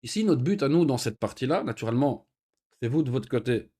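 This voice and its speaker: A man teaching an Arabic lesson in French. He reads each Arabic phrase and then explains it patiently, with pauses and fillers. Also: medium pitch, animated, mellow, slightly rough. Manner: patient, repeats words slowly with pauses and fillers. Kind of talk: recorded online lesson.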